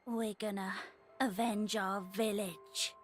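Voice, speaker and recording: A woman's voice: mid-range voice, level tone, takes it slowly, soft background music, lightly acted. A woman voicing a defiant, scrappy young child thief in a video game. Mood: bitter. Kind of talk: video game cutscene acting.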